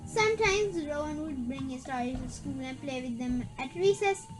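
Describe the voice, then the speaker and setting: A high-pitched voice reads a spooky children's story aloud. The reader often stumbles, restarts and repeats words. Music plays clearly behind the reading. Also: animated, normal speed, rough recording. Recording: background music.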